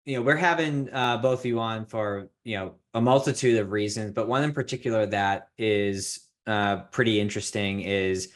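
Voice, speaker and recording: Low-voiced man hosting a podcast. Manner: halting, many filler words, laughs easily. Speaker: podcast host. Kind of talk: casual interview.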